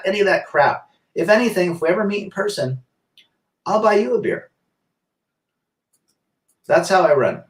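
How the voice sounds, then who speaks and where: Echoey livestream host reading chat. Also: male voice, medium pitch, distant mic. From south-central Pennsylvania, US. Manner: reads viewer comments aloud and answers them. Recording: echoey room.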